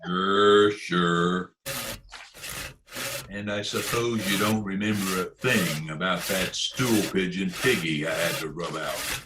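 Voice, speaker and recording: A man's voice: deep voice, slow pace, very noisy. A man playing a tough, curt gangster boss in a 1940s-style radio crime comedy, sneering, slangy and commanding. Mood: cold menace. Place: remote video-call performance.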